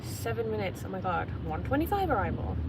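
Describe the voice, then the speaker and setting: A woman's voice: medium pitch, big pitch swings, fairly quick. A young woman from England chatting anxiously while driving on a rainy freeway, full of fillers. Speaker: vlogger. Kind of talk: casual vlog while driving. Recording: loud road and rain noise. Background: road and rain noise.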